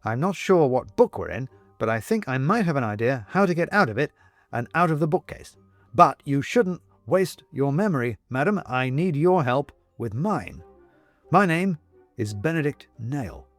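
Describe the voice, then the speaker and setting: A man's voice: medium pitch, sing-song, moderate pace, dry recording, faint music behind, pitched above the performer's own voice. A man voicing a fussy, courteous monk in a storybook, with a wordy, exclamatory, bookish way of talking. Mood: brisk, courteous. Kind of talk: storybook character voice in audiobook.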